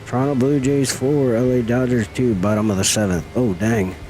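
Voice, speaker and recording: A man's voice: medium pitch, fairly even intonation, moderate pace, a little mellow, soft background music, low-quality recording. A middle-aged man chatting casually with viewers as he streams a game, folksy and teasing. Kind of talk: casual live-stream chat while gaming.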